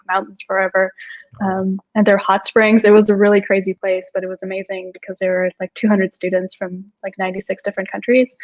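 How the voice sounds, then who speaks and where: A young woman from Austria speaks as a guest on a video call, chatting casually with plenty of "like" and little laughs. Her pitch stays fairly flat and even, and her sound comes through dull and lo-fi. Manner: chatty, many "like" fillers, laughs often. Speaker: startup co-founder, guest. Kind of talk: casual interview chat.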